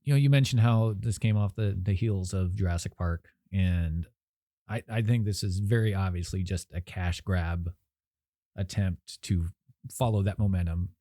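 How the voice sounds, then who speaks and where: A man's voice: sits low, steady tone, normal speed, dark tone, dry recording, studio quality. A man co-hosting a podcast, giving blunt, opinionated movie reviews in casual chat. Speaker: podcast co-host.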